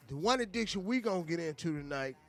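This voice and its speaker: A man's voice: on the high side, lively intonation, fast, faint music behind. An American man co-hosting a casual live radio chat, talking emphatically and repeating himself for emphasis. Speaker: radio show co-host.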